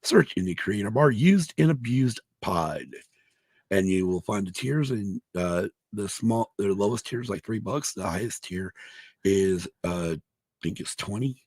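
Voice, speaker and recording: A man co-hosting a casual podcast, hoarse from allergies, who rambles, restarts and swears a lot. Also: fairly low pitch, expressive, a little slow, a little mellow, no room sound. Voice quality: gravelly, husky. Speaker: podcast co-host. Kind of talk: casual chat.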